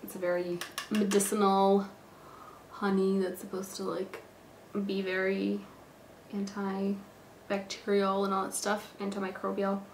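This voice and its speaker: A hoarse, stuffed-up young woman who is sick with a cold, chatting casually and rambling with lots of fillers while doing her makeup. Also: mid-range voice, monotone, unhurried, pauses often, a little hiss or hum. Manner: rambling, filler-heavy, lots of "like" and "you know". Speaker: beauty vlogger. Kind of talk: casual chatty get-ready-with-me talk. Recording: echoey room.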